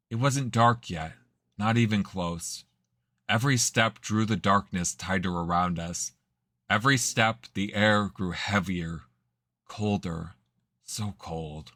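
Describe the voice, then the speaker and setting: A hesitant young man telling a painful memory by a fire in an audio drama, subdued and halting, as a man puts him on. The voice sits low but rings bright and forceful. It is recorded close and dry. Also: expressive, no room sound, exaggerated voice. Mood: wistful.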